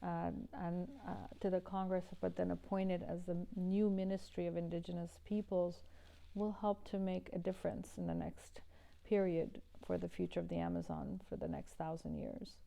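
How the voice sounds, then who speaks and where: A woman's voice: on the low side, flat delivery, moderate pace, creaky, dark tone. A middle-aged woman from Iran, an environmental activist, answering interview questions earnestly with many restarts and fillers.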